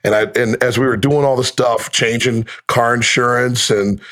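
A man's voice: mid-range voice, fairly even intonation, normal speed, fairly bright tone. A man in his fifties, a guest on a podcast, talking in a laid-back, storytelling way and laughing easily. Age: 50s. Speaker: guest, radio play-by-play announcer. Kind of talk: casual podcast conversation.

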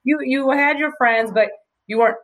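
A young woman being interviewed by her brother. She talks in a halting way, full of "like" and "um" as she hunts for words. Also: sits high, fairly bright tone, a little hiss or hum. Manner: halting, filler-heavy, searching for words. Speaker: host's sister, interviewee. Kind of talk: casual interview.

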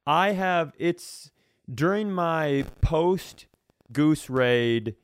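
A man co-hosting a comedy podcast in casual chat, rambling through animated, mock-serious explanations. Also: fairly high pitch, very slow, clean tone, no echo, studio quality. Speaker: podcast co-host.

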